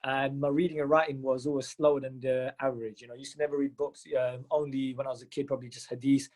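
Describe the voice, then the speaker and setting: A young man from Mumbai with a London accent, telling stories as a podcast guest, quick and animated with lots of fillers. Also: mid-range voice, rough recording. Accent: London English. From Mumbai, India. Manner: fast, animated, with many fillers and restarts. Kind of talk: casual interview storytelling.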